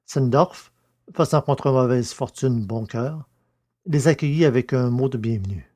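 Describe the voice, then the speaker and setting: A male narrator reads a science-fiction serial. His voice is mid-pitched, and his delivery is measured and literary, like scripted storytelling. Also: darkish tone.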